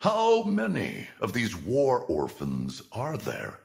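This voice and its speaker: An actor playing a kind, generous noble husband who speaks decisively. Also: male voice, fairly low pitch, huge pitch swings, slow, creaky, a little mellow, heavily acted. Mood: kindly.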